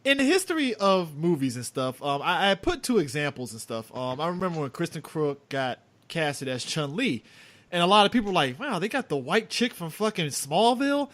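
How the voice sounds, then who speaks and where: A man's voice: on the high side, big pitch swings, moderate pace, fairly bright tone. A man co-hosting a podcast in casual movie-review banter, rambling and leaning on fillers like "and stuff.". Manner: rambling, leans on fillers such as "and stuff" and "yeah, yeah". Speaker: podcast co-host.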